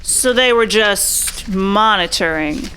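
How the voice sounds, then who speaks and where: A woman's voice: mid-range voice, slow pace, pushed and bright, a little hiss or hum. A woman playing a blunt, suspicious 1940s private eye who questions in a pressing, hard-boiled way, in radio drama style. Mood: probing, skeptical.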